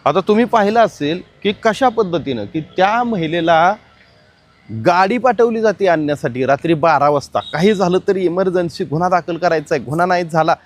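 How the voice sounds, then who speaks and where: A man's voice: high voice, normal speed. A man presenting explanatory crime news commentary, emphatic and speaking straight to the audience.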